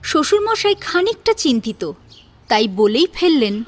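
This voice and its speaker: A woman's voice: high voice, sing-song, measured pace. A woman narrating a dramatised family story from a script.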